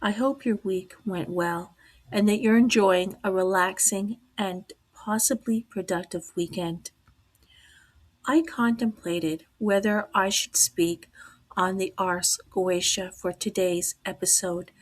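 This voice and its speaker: Hoarse lady hosting an occult podcast, talks real slow and measured, whispers now and then. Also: medium pitch, steady tone, low-quality recording. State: losing her voice, hoarse. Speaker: podcast host. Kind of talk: slow, deliberate talk on the occult.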